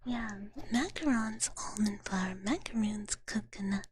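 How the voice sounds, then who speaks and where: A woman whispering softly and breathily in casual ASMR chat close to the microphone, with a cutesy, girlish way of talking. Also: medium pitch, unhurried, background noise. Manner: girlish cutesy talk, refers to herself in third person. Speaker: ASMR live streamer.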